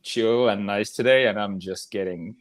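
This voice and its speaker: A man's voice: lowish voice, normal speed, a loud crowd. A man speaking as a podcast panelist, chatting casually and conversationally with his co-hosts. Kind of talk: casual chat.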